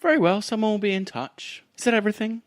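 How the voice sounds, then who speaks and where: A man voicing an aloof, politely dismissive female crime boss in a cyberpunk game, speaking in a measured way. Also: sits high, no echo, clean studio sound, pitched above the performer's own voice, close to an everyday voice. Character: aloof, cagey crime boss called Duchess, a woman.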